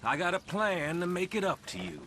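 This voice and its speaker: An actor playing a cocky, reckless outlaw in a video game, with a smug, taunting, chuckling manner. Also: male voice, high voice, sing-song, a little fast, a little hiss or hum. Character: cocky, needling male outlaw, reckless troublemaker. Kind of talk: video game cutscene acting. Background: loud game score.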